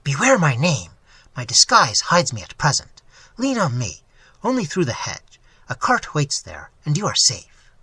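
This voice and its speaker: A man's voice: on the high side, huge pitch swings, unhurried, bright, forceful tone, breathy edge, no echo, heavily acted. A man voicing a bold, plain-spoken stranger posing as a farmer in an audiobook. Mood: urgent, guarded. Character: bold disguised highway rescuer posing as a farmer. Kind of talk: audiobook character voice.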